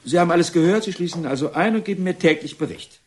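An actor playing a stern, smugly patient reformatory director in a German radio play, speaking in a pompous, declamatory way. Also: male voice, high-pitched, quick pace, lightly acted. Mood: commanding, patient.

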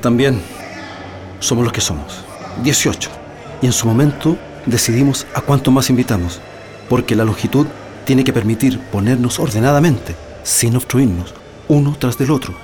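An actor playing a calm, methodical leader of political prisoners in a radio drama, with a Chilean accent and a measured, reassuring delivery. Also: male voice, medium pitch, natural voice. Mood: methodical, firm. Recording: music behind the voice.

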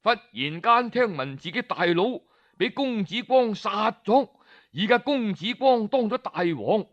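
A man with a Cantonese accent narrating a historical tale in traditional oral storytelling style, expressive and colloquial, addressing his listeners with small interjections. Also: high voice, unhurried, muffled recording.

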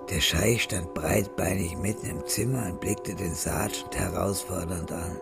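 Male narrator for radio noir, gravelly, lowish voice, scripted storytelling over prominent music.

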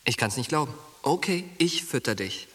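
A man's voice: mid-range voice, lively intonation, moderate pace, fairly bright tone, slightly rough. An actor playing a brash, coarse young soldier who goads his friend, in a radio drama. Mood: exasperated, coaxing. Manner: coarse, goading, slangy.

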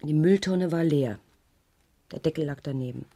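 An actress in a German radio crime play playing an uneasy married schoolteacher who gives a hesitant, detailed account to police. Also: female voice, low voice, normal speed, mellow. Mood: uneasy, recounting. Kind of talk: 1980s German radio drama dialogue.

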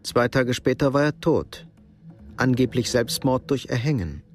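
A man's voice: mid-range voice, expressive, a little slow, faint music behind. A man reading scripted narration for a radio drama. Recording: clear background noise.

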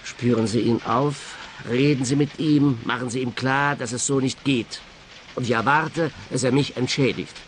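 Schauspieler als ernster Schrottplatzchef, leichte Hintergrundgeräusche. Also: male voice, mid-range voice, fairly even intonation, moderate pace, slight background noise, natural voice. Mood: aggrieved, firm. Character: earnest, matter-of-fact male scrapyard boss. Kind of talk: radio play dialogue.

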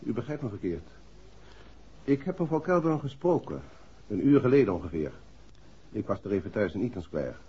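An actor playing a polite, inquisitive police inspector in a 1960s radio play, speaking formally and measuredly. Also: male voice, fairly low pitch, big pitch swings, brisk, pauses often, breathy edge, full character voice. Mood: probing, puzzled. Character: polite, probing male police inspector on a murder case. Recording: muffled 1960s radio recording.

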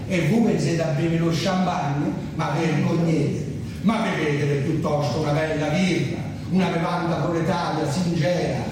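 Satirical stage firebrand, acted by a man: rough proletarian, indignant, repetitive harangue, distant in a noisy hall. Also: a little high, natural voice. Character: indignant rough working-class male trade-union agitator. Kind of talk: theatrical satirical monologue.

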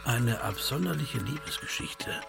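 A man performing as a dry, deliberate storyteller in a literary radio play, pointed and gravelly in delivery. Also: mid-range voice, expressive, music in the background. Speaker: radio drama narrator.

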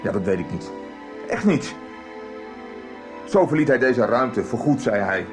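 A man's voice: mid-range voice, big pitch swings, a little slow. Defensive cornered guy in a 90s radio drama, agitated, voice jumps around a lot, music behind. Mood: cornered, pleading. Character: cornered, defensive man with a shameful political past. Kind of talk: 1990s radio soap acting.

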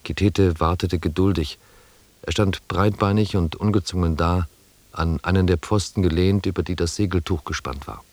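An actor as a sober narrator recounting an expedition in a radio drama. His voice is low, in a dry studio sound. The delivery is literary, measured and descriptive. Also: steady tone, a little mellow, no echo, close to an everyday voice. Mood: detached.

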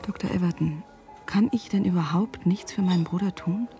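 A woman acting a troubled sister in a radio play, full of worried disbelief. Her voice is fairly low and soft-toned, often sinking into a breathy hush over faint music. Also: brisk, close to an everyday voice. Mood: anxious disbelief. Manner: hushed, breathy, questioning. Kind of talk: German radio drama acting.

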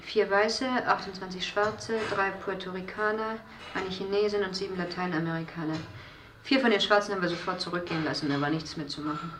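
A woman's voice: lowish voice, fairly even intonation, a little fast, natural voice. An actress playing a weary, clinical woman who reports in a matter-of-fact way in a German radio drama. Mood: matter-of-fact, detached. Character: weary clinical woman tallying harvested organs in a dystopia. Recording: roomy, noisy background.

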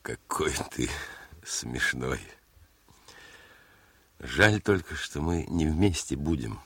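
An actor playing a seasoned army lieutenant, a fond and sober elder brother, with measured, courteous delivery in radio drama style. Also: male voice, a bass voice, expressive, sharp tone, breathy edge, no room sound, full character voice. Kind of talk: theatrical radio drama acting. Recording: radio play with faint music.

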